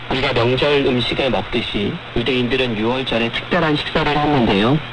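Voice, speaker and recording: A man preaching a radio sermon as a pastor, speaking formally and earnestly in an explanatory way. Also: medium pitch. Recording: noisy shortwave radio broadcast.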